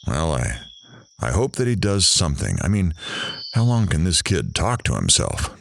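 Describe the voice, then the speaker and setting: An actor plays a bored, impatient male onlooker muttering sardonic complaints in an audio drama. His low voice swings widely in pitch and has a gravelly creak. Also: wildly swooping pitch, moderate pace, a little hiss or hum, exaggerated voice. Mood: bored, exasperated.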